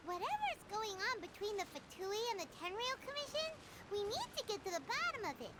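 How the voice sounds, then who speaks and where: A woman voicing a tiny, excitable, girlish fairy companion in a video game, with a squeaky, chattering voice. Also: brisk, very noisy. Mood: determined. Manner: squeaky, chattering, wide-ranging pitch. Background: loud game music.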